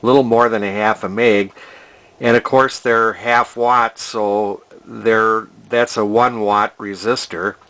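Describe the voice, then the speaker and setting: A man's voice: fairly low pitch, fairly even intonation, takes it slowly, fairly bright tone. An older man speaks. He sounds like a hobbyist explaining his restoration work off the cuff. His delivery is casual and rambling, with hesitations as he thinks aloud. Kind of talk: casual unscripted repair narration.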